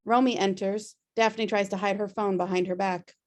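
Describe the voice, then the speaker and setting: A woman's voice: medium pitch, fairly even intonation, moderate pace, darkish tone. A woman narrating stage directions at a script table read. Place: remote video call.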